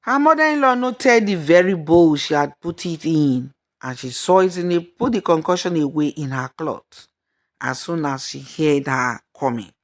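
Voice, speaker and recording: A woman's voice: sits low, normal speed. A woman storyteller giving a scripted narration of a folktale.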